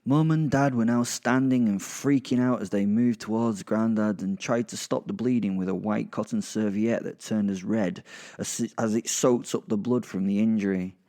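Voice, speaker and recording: A man's voice: on the low side, steady tone, moderate pace, mellow. A man narrating a first-person horror comedy audio drama in a gleeful, sardonic, sweary manner. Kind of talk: first-person darkly comic horror storytelling, in character.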